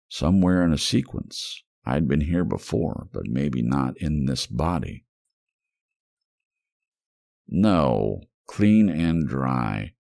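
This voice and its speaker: Male audiobook narrator, extremely deep pitch, heavy vocal fry, ASMR noir storytelling, brooding and wry. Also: darkish tone, no echo, clean studio sound.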